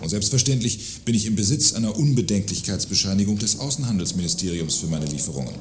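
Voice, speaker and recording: A man's voice: lowish voice, expressive, fast, natural voice. A man acting a self-righteous, pompous arms manufacturer in a satirical radio play, speaking formally and indignantly. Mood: aggrieved, dismissive. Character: self-righteous, award-winning male arms manufacturer and husband. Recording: roomy sound with faint noise.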